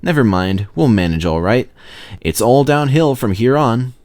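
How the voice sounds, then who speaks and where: A man's voice: mid-range voice, animated, moderate pace, darkish tone, lightly acted. A man voicing a practical male engine fireman in a children's storybook, emphatic and matter-of-fact. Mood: reassuring.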